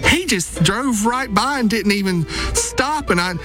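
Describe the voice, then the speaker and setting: A man's voice: sits high, lively intonation, a little slow. A man playing a gossipy friend in a radio ad sketch, repeating a complaint over and over, with music underneath. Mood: teasing. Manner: gossipy, repetitive, teasing.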